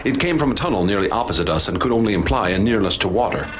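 A man narrating and acting as expedition leader in a radio drama, grave and measured. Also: on the low side, animated, over music.

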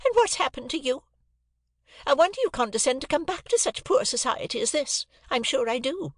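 A storyteller voicing a scornful, sharp-tongued wife with a sarcastic, scolding manner. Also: high voice, sing-song, a little fast, no room sound, studio quality, voice pushed up.

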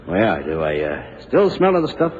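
An actor playing a wry, rambling, hungover painter in an old radio drama. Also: male voice, low voice, huge pitch swings, normal speed, darkish tone, husky, exaggerated voice. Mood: troubled. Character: hungover self-deprecating boastful bohemian painter, confessed killer. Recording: muffled 1970s radio drama, hiss, reverb.

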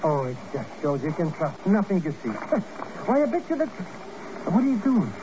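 An actor playing an excitable, chatty, nervous sidekick to a secret agent in a comic, complaining 1950s radio drama style. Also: male voice, high voice, a little slow. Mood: puzzled. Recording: muffled, noisy old radio recording.

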